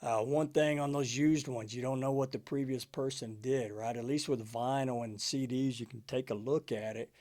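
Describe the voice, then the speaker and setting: Middle-aged male, mid-range pitch, laid-back conversational delivery, unscripted collector vlog. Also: steady tone, normal speed, few pauses, darkish tone. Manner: laid-back, conversational, often tags sentences with "right?" and "man". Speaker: music collector hosting a video channel. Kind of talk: casual unscripted talk to camera.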